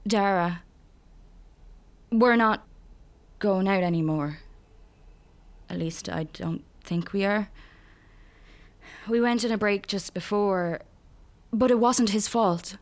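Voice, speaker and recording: A depressed young Irish woman in a radio drama. She speaks at a middling pitch, hesitant and deadpan, with a guarded, wry edge. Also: slow, long pauses. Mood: low, reluctant. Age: young adult. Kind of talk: naturalistic radio drama acting.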